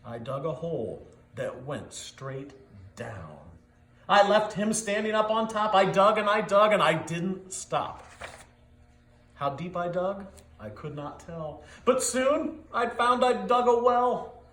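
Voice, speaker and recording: An eager, clumsy big dog acted by a man tells his own tale in storybook rhyme. The pitch is high and swings widely, with dramatic, rhythmic delivery. The sound is roomy and echoing. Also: big pitch swings, slow pace, a bit bright, exaggerated voice. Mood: desperate. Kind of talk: animated storybook read-aloud.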